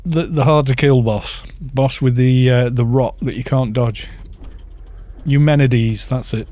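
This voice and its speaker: A man's voice: mid-range voice, steady tone, measured pace, dark tone. A British man in his fifties chatting in a rambling way while streaming a game and reading out viewer chat. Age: 50s. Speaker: gaming streamer.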